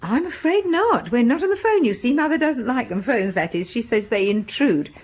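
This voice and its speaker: A timid English spinster of middle age in a radio chiller. Her voice is very breathy and lilts up and down freely. She is deferential and flustered, halting as she apologises, yet eager to please. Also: fairly high pitch, lively intonation, darkish tone. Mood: apologetic, sympathetic. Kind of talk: radio horror drama acting.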